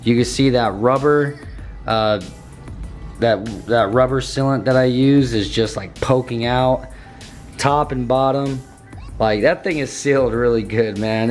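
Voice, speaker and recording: A young man with a slightly hoarse voice, walking through a car build in a casual vlog and tossing in dude and bro. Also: mid-range voice. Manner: laid-back slangy, says dude and bro. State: slightly hoarse, losing his voice.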